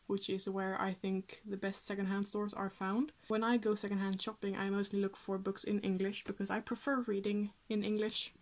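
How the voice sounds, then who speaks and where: A young woman from Sweden with a Swedish accent, chatting casually to camera about books in a small room at home, with frequent fillers and small laughs. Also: mid-range voice. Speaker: book vlogger.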